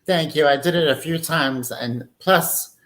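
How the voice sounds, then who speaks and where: A man's voice: on the high side, a little slow, a bit bright. A man appearing as a drag queen guest, cheeky and chatty in a casual catch-up.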